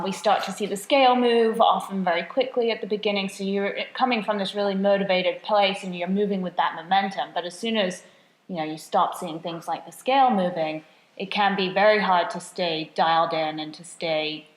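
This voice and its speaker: A woman's voice: lowish voice, moderate pace. Chatty woman in an echoey room. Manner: chatty and self-deprecating, laughs often. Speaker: podcast co-host and nutrition coach. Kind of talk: casual podcast chat. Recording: roomy echo.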